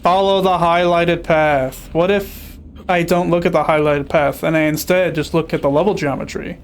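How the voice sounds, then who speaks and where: A man's voice: a little high, fairly even intonation, normal speed, slight background noise. A young man casually commenting on a video game as he plays, chatty and sarcastic. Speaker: streamer playing a video game.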